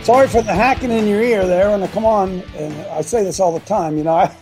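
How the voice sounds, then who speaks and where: An older man with a gravelly, hoarse voice hosting a casual live show, rambling and often clearing his throat. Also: high voice, moderate pace, a little mellow, faint music behind. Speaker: show host.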